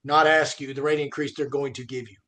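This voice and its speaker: A man in casual podcast conversation about his trade, talking in a halting, emphatic way and often repeating himself. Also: mid-range voice, flat delivery, a bit bright. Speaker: podcast co-host. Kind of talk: conversational industry podcast talk.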